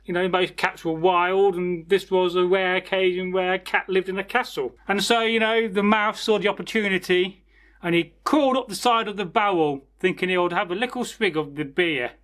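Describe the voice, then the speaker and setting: A man's voice: high voice, steady tone, moderate pace, a bit bright. A man telling a folktale informally as a storyteller, chatty and rambling, with asides to his listeners. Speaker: storyteller, channel host.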